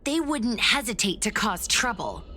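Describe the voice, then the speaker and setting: A woman's voice: fairly high pitch, big pitch swings, normal speed, pushed and bright, faint music behind. A woman voicing an earnest, determined young heroine in a video game, forthright and direct. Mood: suspicious, determined.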